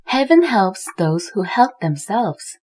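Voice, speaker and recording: A woman's voice: mid-range voice, very animated, slow, slightly rough. Woman narrating a children's tale over music. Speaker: storyteller. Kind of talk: scripted children's story narration with lesson. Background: soft music.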